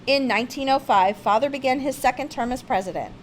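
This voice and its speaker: A woman's voice: high voice, fast, slight background noise. A woman reads a children's picture-book biography aloud with theatrical, emphatic expression. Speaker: storybook reader.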